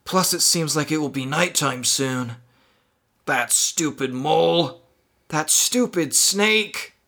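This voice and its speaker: A man's voice: fairly high pitch, takes it slowly, sharp tone, voice pushed up, exaggerated voice. A man voicing a worried, frustrated boy who grumbles to his friend in an adventure story. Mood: worried, grumbling.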